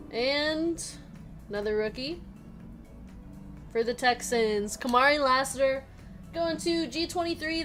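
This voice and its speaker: Woman, fairly high voice, chatty card break host, laughs often, music playing behind. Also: a bit bright. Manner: chatty, laughs often, reads out players and numbers. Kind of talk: live card break, casual chat.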